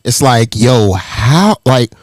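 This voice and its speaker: A man from Las Vegas hosts a podcast in a loose, hyped style heavy with profane slang. His voice sits at an ordinary middle pitch. The recording is close and dry. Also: expressive, takes it slowly, no echo. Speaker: podcast host. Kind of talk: casual podcast interview.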